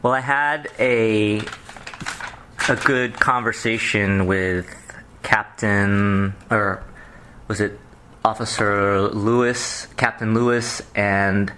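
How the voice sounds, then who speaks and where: A man answering as a psychiatrist in a phone job interview, explaining himself in halting, rambling stretches full of clinical terms. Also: lowish voice, steady tone, bright, forceful tone, clean tone. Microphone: telephone.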